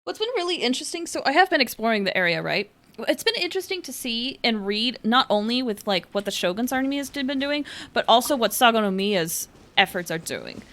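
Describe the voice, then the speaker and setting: A woman's voice: on the high side, lively intonation, a little fast, a bit bright. A woman giving casual live commentary as a video game streamer, playful and chatty.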